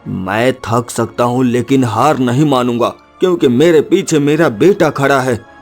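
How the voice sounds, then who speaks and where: Man playing a slow, earnest, protective father. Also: mid-range voice, darkish tone, faint music behind, close to an everyday voice. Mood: determined. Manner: earnest, dramatic, reassuring. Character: brave, protective woodcutter father, determined and tender. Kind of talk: dramatic cartoon story acting.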